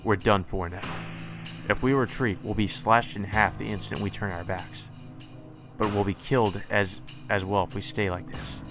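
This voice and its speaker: Low-voiced man reading a visual novel. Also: normal speed, music in the background. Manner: steady first-person reading with small stumbles. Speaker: let's play narrator.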